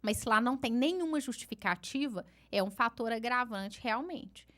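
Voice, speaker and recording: A woman lecturer with a regional Brazilian accent speaks to a seminar audience. Her voice sits a bit high, and her talk is chatty and self-deprecating, full of quick restarts. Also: animated, fairly bright tone. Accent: Brazilian Portuguese, regional. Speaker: law lecturer. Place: conference hall.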